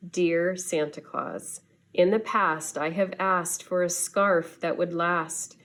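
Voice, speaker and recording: A woman's voice: fairly low pitch, steady tone, takes it slowly, a bit bright. A woman voicing a wistful, earnest male penguin who cannot fly, in a children's storybook. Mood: earnest, pleading.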